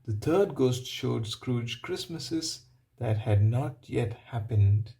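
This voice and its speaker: A man reading a picture book aloud to children, pausing to explain things and put questions to them. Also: mid-range voice, lively intonation, creaky, dark tone. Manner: pauses to ask and explain to young listeners. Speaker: storybook reader. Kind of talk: read-aloud narration for children.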